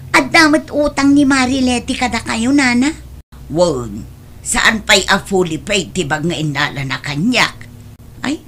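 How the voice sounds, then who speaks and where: A woman's voice: fairly high pitch, fairly bright tone, a little hiss or hum. A woman playing a frank, questioning friend who sells goods and chases debts, speaking with an Ilocano accent in a radio-style comedy drama.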